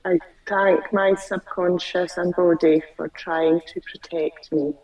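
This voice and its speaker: A woman client who stutters, slowly repeating phrases after her coach over a video call. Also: fairly low pitch, fairly even intonation, creaky, darkish tone, distant mic, muffled recording.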